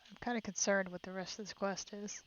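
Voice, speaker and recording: Female game streamer, mid-range voice. Casual off-the-cuff commentary, thinking out loud, somewhat muffled audio. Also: medium pitch, steady tone, fairly quick. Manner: chatty, thinking aloud to herself. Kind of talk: casual live commentary while playing a game.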